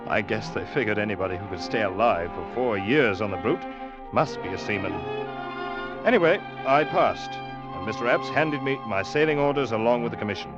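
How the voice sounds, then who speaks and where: An actor playing an earnest young seaman who narrates his past in old radio drama style, with dramatic, deliberate pauses. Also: lowish voice, animated, close to an everyday voice. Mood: reflective. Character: young sailor Ned, earnest third mate, recounting his past. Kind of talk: mid-20th-century radio drama acting and narration. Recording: dull vintage radio recording, loud orchestral music.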